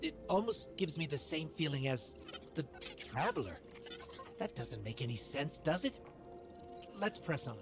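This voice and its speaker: An earnest little robot sidekick, high for a male voice. It sounds anxious and wondering, a game character speaking over loud music. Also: very animated, measured pace, gravelly, exaggerated voice. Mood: awed, uneasy. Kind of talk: video game acting.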